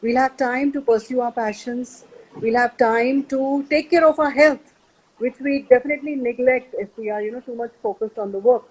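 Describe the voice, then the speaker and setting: Husky woman listing points earnestly. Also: on the high side, normal speed, poor audio. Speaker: panel guest. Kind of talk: panel talk.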